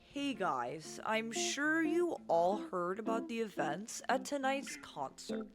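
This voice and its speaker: A woman as a lilting, unhurried pop band member. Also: a little high, big pitch swings. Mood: reassuring, composed. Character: band member giving fans a reassuring update. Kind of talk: scripted public announcement to fans. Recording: guitar music under the voice. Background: plucked guitar music.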